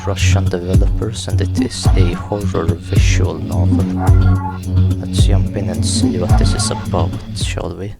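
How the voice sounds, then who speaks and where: A man hosting a gaming video, reading a visual novel script aloud in a measured storytelling way. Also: sits low, level tone, gravelly. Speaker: gaming channel host. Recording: music behind the voice. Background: game soundtrack music.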